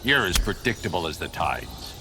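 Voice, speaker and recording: An actor playing a resolute, principled superhero in a video game, stern and controlled. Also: male voice, sits low, big pitch swings, normal speed, heavy background noise. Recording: loud music behind the voice.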